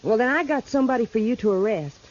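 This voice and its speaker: A woman's voice: a little high, animated, quick pace, a little mellow. An actress playing a stubborn, sassy young mountain girl with an American Southern mountain accent in a 1950s radio western. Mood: blunt, determined. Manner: blunt, sassy, backwoods. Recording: muffled vintage radio recording.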